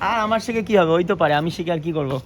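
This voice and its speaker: A man's voice: sits high, racing. A young man chatting playfully and casually as a vlogger. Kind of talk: casual vlog chat. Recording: roomy, off-mic, clear ambient noise.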